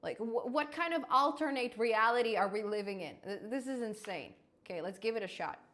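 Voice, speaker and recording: A young adult woman hosting a live coding stream, chatty and quick to laugh, often restarting her words. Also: on the high side, animated, fairly bright tone. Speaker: programming channel host.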